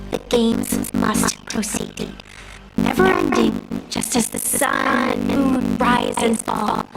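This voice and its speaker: A woman playing an ancient, proud arbitrator of deadly games in a video game, speaking in a solemn, deliberate, poetic way over music. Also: medium pitch, vocal fry, heavily acted, poor audio. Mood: stern. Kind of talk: scripted video game voice acting, dramatic storytelling.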